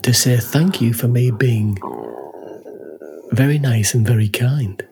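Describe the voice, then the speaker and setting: A man's voice: mid-range voice, slow pace, darkish tone, a little hiss or hum. A man co-hosting a maker podcast in casual chat, laughing often and tagging his remarks with "huh?". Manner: chatty, laughs often, tags lines with "huh?". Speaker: podcast co-host.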